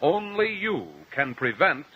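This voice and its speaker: An American man narrating as a mid-century radio announcer, reading a script with deliberate, dramatic emphasis. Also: high voice, very animated, heavy background noise. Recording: dull, lo-fi old radio recording.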